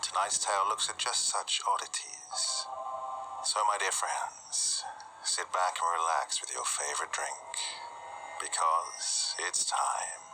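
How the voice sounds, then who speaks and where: A man narrating a scripted horror story as host, in a hushed, deliberate, suspenseful manner. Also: sits low, animated, in a whisper, low-quality recording.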